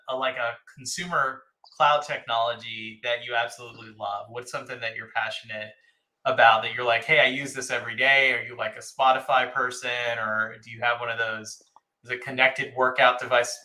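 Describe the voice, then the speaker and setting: A man hosting an interview in a casual, upbeat way, often lifting his voice for quick reactions. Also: medium pitch, fairly even intonation, bright, forceful tone, poor audio. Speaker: host.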